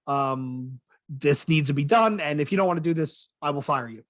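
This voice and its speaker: A man's voice: on the high side, normal speed, dry recording, clean studio sound. A man hosting a casual podcast discussion, thinking aloud in a conversational way and correcting himself as he goes. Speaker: podcast host.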